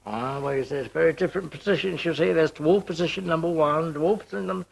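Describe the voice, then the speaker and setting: A man's voice: a little high, quick pace, gravelly. A man telling anecdotes in an interview, acting out dialogue as he goes. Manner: animated storyteller, acting out dialogue. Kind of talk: anecdotal talking-head interview. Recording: faint music behind, VHS tape.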